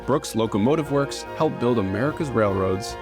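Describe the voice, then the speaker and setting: A man reading scripted documentary narration in a measured, formal way. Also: mid-range voice, expressive. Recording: music bed under voice.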